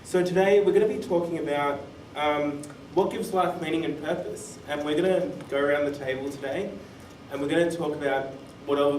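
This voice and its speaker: A man's voice: a little high, darkish tone. Male podcast host in an echoey room. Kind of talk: hosting a live panel podcast. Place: conference room, live event. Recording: echoey room, distant mic, faint noise.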